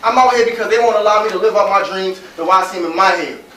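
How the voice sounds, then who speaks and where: A man's voice: high voice, rapid-fire, bright, forceful tone. A man acting on stage as a frustrated, determined young American man, pleading and insistent in slangy speech. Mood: frustrated, defiant. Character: frustrated, determined young man hustling for his pregnant partner. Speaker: stage actor. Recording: roomy distant stage recording with hiss.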